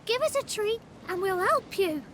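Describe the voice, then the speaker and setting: A woman's voice: very high voice, animated, normal speed, a bit bright, slight background noise. A woman voicing a cheeky, bossy little village child in a fantasy video game, with blunt rustic childish speech. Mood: cheeky, bargaining. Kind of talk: video game character acting.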